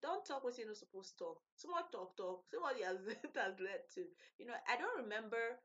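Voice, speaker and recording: A Nigerian woman hosting her own podcast, telling a story in a casual, animated way and laughing often. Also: a little high, fairly quick. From Nigeria (Edo State). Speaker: podcast host. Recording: dull lo-fi podcast audio.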